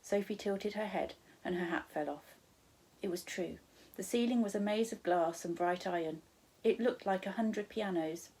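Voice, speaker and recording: A woman's voice: mid-range voice, normal speed, mellow, low-quality recording. A woman with a British accent, a primary school teacher, narrating a children's storybook expressively.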